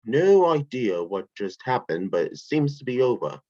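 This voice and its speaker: A woman with a low, husky voice reading lines in a table read, lively and emphatic, her pitch moving a lot. The sound is dry and lo-fi. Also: normal speed, no echo. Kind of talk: amateur online script reading.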